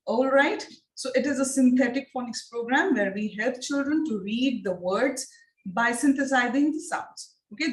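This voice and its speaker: A woman with an Indian accent leading an online phonics training for teachers, speaking deliberately and repeating her questions to the trainees. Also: fairly high pitch, roomy sound, low-quality recording. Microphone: video call.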